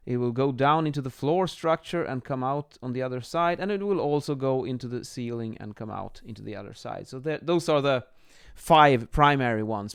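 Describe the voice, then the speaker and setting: A man in his thirties explaining as an instructor in an informal lesson, a little halting as they think aloud, recorded on a close microphone in a small room. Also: mid-range voice, clean tone. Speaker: acoustics instructor, presenter. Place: small home office, indoors. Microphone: microphone on boom arm, close.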